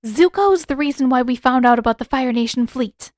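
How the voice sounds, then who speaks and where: A woman narrator plays a teenage girl in a fantasy story, protective and quick to argue. She speaks up high in an insistent, blurting way, very close and dry. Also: darkish tone, no room sound, natural voice. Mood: urgent pleading. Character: urgent, defensive teenage girl, protective of her friend.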